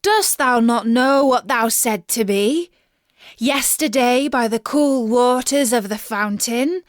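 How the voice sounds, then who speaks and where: An insistent little storybook frog, acted out by a woman, calls out in a raised pitch. He bargains in formal, archaic phrases and pleads in drawn-out cries. The sound is dry and close. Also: no room sound. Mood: insistent pleading. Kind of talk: children's storybook character voice.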